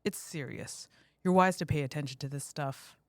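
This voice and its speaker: A woman playing a cool police detective in an audio drama, speaking in a measured, official and firm way. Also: low voice, no room sound, close to an everyday voice. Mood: stern, cautioning.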